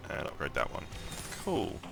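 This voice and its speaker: A man with a croaky morning voice giving casual gameplay commentary, thinking aloud and rambling with lots of ums and restarts. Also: very deep voice, huge pitch swings, normal speed, fairly bright tone, soft background music. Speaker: mobile game let's-play host.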